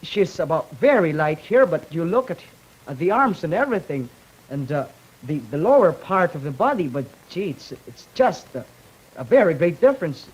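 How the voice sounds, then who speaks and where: A man's voice: fairly high pitch, big pitch swings, moderate pace, a little mellow, slightly rough, dull audio. A young man from the Philippines, a student debater speaking hesitantly with many restarts on a 1950s panel. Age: young adult.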